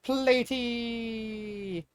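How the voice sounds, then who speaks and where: A storyteller voicing a sweet, energetic, playful girl who gushes with sunny excitement. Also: medium pitch, very slow, clean tone, voice pushed up. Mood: delighted. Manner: gushing, excitable.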